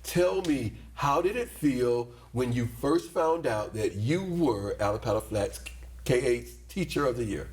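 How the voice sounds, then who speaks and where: A man's voice: medium pitch, lively intonation, unhurried, darkish tone. A man hosting a school interview show, upbeat and complimentary as he introduces guests and asks questions. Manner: upbeat, complimentary, repeats "awesome". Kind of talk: studio interview.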